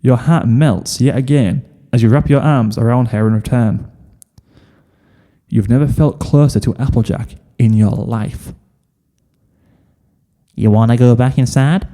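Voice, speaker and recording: A man's voice: medium pitch, unhurried, mellow, in a large hall. A man reading a scripted second-person story as narrator. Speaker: fan fiction reader, narrator.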